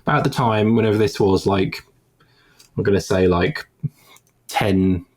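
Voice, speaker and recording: A man's voice: lowish voice, a little slow, darkish tone. A man of forty chatting casually as a podcast panelist, rambling and hesitant with many fillers. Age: 40s. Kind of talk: casual chat.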